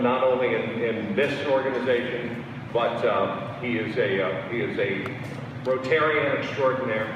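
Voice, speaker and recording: A man's voice: medium pitch, highs cut off. A man hosting an event, introducing a speaker in a jovial, hyped-up way. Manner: jovial, hyping the honoree. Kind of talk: public introduction. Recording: echoey hall, distant mic, crowd and noise.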